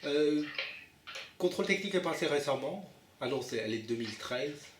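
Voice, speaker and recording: A man's voice: fairly high pitch, lively intonation, brisk. A man making an improvised prank phone call as a buyer, insistent and bossy, often cutting in. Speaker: prank caller posing as a car buyer. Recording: roomy echo, faint noise.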